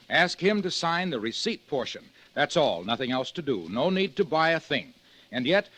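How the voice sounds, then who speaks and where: Male commercial announcer, high voice, emphatic, salesmanlike, vintage radio ad delivery. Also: a bit bright, low-quality recording. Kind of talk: 1950s radio advertising read.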